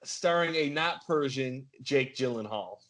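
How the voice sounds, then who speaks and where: A man's voice: mid-range voice, expressive, a little slow, fairly bright tone. A man hosting a podcast game in a casual quiz style.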